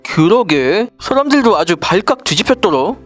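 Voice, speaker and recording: A very animated would-be politician acted by a woman chatters in a fairy-tale satire, naive yet scheming. The talk is casual, exclamatory and wheedling, swinging widely in pitch over faint music. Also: normal speed. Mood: surprised. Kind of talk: scripted political satire dialogue, storybook parody.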